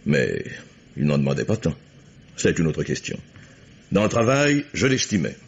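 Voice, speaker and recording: Radio mystery suspect: proud engineer, very low husky voice, formal and guarded, muffled sound. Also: male voice, big pitch swings, full character voice. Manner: formal, measured, clipped declarations. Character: proud, coldly calm, guarded male chief engineer. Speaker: actor in a radio crime drama. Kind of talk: French radio mystery acting. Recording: muffled old radio recording.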